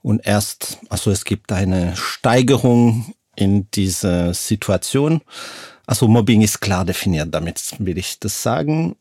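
Middle-aged social worker, lowish voice, unhurried and deliberate. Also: male voice, expressive, a little mellow, breathy edge, no room sound, studio quality. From Switzerland. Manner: deliberate, slightly broken grammar. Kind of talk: casual talk show chat.

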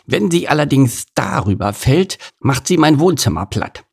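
A man's voice: medium pitch, very animated, normal speed, creaky, darkish tone, slightly rough, voice pushed up, exaggerated voice. A man voicing a puzzled, easygoing male beaver who grumbles and muses to himself, in a children's storybook reading. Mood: musing.

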